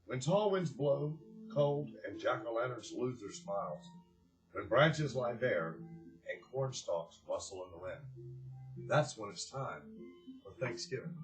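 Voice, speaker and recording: A man's voice: medium pitch, very animated, takes it slowly, poor audio. Earnest man presenting, music behind. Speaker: director of a community organization, presenter. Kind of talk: heartfelt address and storybook read-aloud.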